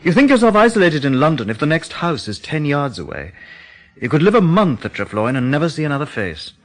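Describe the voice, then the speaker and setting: Very expressive, genial, uneasy man. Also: on the high side, brisk. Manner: conversational, expressive storytelling. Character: genial, uneasy painter lodging at a remote farm. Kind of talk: radio drama acting.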